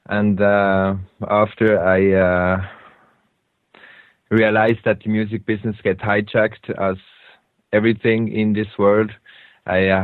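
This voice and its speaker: A man's voice: on the low side, no room sound. Hesitant Swiss German man on a tinny line. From Switzerland. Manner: halting, with frequent hesitations and non-native grammar. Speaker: radio show host. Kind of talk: unscripted talk radio monologue. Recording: tinny phone-like line, dry.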